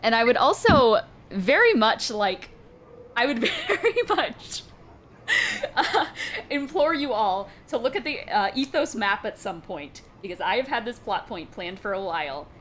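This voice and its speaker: A woman's voice: a little high, measured pace, slight background noise. A young woman running a tabletop game, wrapping up the session with lots of laughter. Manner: giggly, energetic. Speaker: game master. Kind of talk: casual chat.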